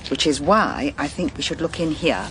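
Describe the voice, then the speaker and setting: A woman's voice: sits low, lively intonation, moderate pace, fairly bright tone, a little hiss or hum. A woman with a British accent playing a composed, well-spoken private detective in a radio drama, polite and thoughtful.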